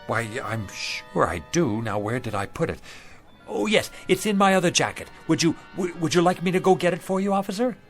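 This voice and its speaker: An actor playing an eager, obliging, down-on-his-luck street pitchman of about sixty, with folksy sales patter, in a radio drama. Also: lively intonation, brisk, soft background music. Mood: deferential. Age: older.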